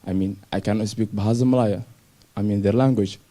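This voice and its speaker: A young man from Somalia telling his personal story to an audience in simple, halting English with restarts. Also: lowish voice, steady tone, mellow. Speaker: speaker sharing his refugee story. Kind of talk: personal story talk on stage.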